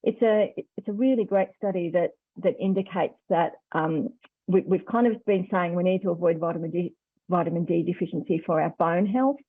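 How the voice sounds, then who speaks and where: A woman's voice: on the low side, fairly even intonation, fairly quick, breathy edge, no echo. A woman with an Australian accent, explaining conversationally as an expert guest on a radio phone-in, over a muffled phone-like line.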